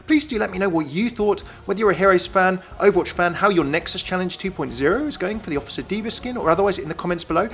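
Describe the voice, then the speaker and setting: Brisk, animated man, higher-pitched. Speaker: YouTube gaming presenter. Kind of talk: scripted video narration.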